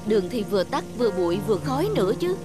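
A woman's voice: medium pitch, big pitch swings, very fast, over loud music, close to an everyday voice. A woman voicing a thrifty young wife and mother in a cartoon, grumbling and fussy. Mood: complaining. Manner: complaining, fussy. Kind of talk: cartoon voice acting.

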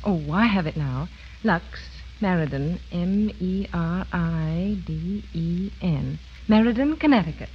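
A woman's voice: lowish voice, expressive, measured pace, husky. A woman speaking scripted dialogue in a 1930s radio advertisement. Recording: muffled 1930s radio recording.

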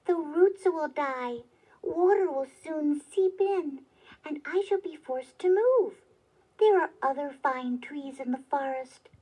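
A woman's voice: high voice, animated, slow pace, highs cut off. A woman voicing a small, polite male elf in a children's storybook, pleading and solemn in manner. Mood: earnest.

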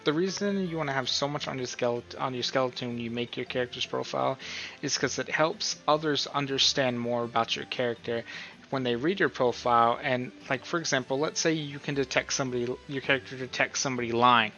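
Bright-voiced man giving a casual tutorial, lo-fi. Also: mid-range voice, a little fast. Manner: informal, thinking aloud, often checking with "right?". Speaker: tutorial host. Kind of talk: casual screen-recorded tutorial. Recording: dull, lo-fi sound with music.

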